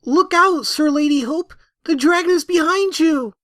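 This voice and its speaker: A woman voicing a shy, earnest young orphan pony boy who speaks in a halting, stiff way. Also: sits high, no room sound, studio quality, close to an everyday voice. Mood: playful. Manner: halting, stiff childlike speech.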